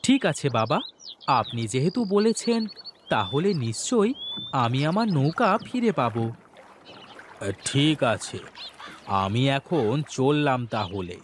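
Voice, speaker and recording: A man's voice: mid-range voice, very animated, slow pace, long pauses, a little hiss or hum. An actor voicing a poor, anxious and respectful village boatman in a fairy-tale cartoon, pleading and exclaiming. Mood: amazed, grateful.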